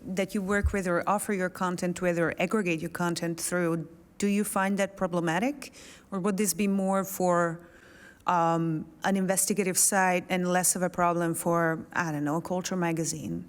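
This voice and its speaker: A woman's voice: lowish voice, fairly even intonation, normal speed. A woman from Hungary moderating a panel, asking probing questions in a conversational way. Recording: long hall reverb.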